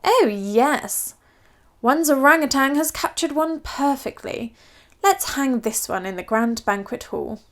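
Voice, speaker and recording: Woman playing a posh, high-voiced elderly queen. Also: animated, measured pace, a bit bright. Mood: pleased, grand. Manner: posh, formal, addresses herself as "one". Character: imperious elderly British queen, regal and mischievous.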